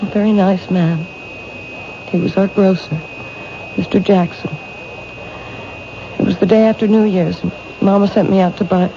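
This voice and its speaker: A woman's voice: mid-range voice, steady tone, moderate pace, pauses often, lightly acted. An actress playing a frightened woman stalked by a killer in a 1970s radio drama, speaking hesitantly and doubtfully. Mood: anxious. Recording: muffled old radio broadcast with hiss.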